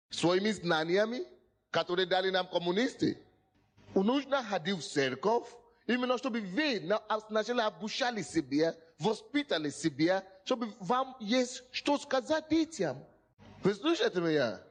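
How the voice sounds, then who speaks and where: A male pastor preaching a sermon to a congregation. His phrasing is slightly broken and non-native, and he repeats lines and often asks whether the listeners hear him. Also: high-pitched. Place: in front of a congregation.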